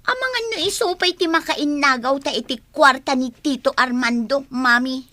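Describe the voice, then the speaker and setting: A woman's voice: high voice, normal speed, sharp tone, dry recording. A woman with an Ilocano accent playing a spoiled, meddling, bossy daughter in a radio comedy drama, in a bratty, tattling way. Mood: conspiratorial. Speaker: voice actor.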